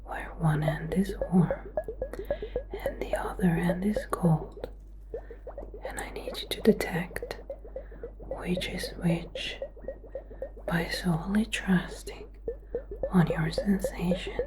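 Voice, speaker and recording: A woman doing a whispered ASMR medical role play as a doctor, giving soothing, slow, repetitive instructions. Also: lowish voice, fairly even intonation. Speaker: ASMR performer playing a doctor.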